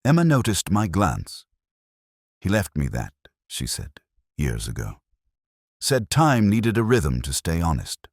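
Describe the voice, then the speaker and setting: Guy doing a super deep weary detective telling his case, brooding and measured, pitch swings about, dry close sound. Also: huge pitch swings, dry recording, full character voice. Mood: grave, observant. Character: weary, introspective male English police detective recounting a case. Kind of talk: scripted first-person detective story narration.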